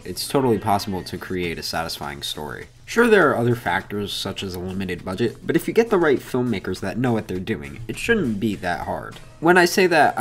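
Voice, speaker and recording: A man hosting a video essay, reading scripted commentary in an informal, opinionated way. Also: lowish voice.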